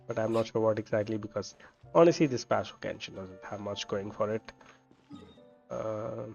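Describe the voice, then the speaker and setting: Guy streaming a game, lowish gravelly fry, rambly low-key commentary, bit of music in the back. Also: a little mellow, muffled recording. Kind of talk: casual live gameplay commentary.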